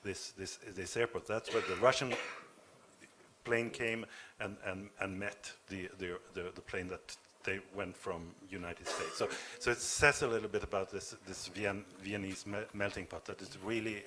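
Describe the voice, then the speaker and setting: A man from Norway answering at a panel discussion, speaking haltingly with many repeated words. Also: deep voice, fairly even intonation, background noise.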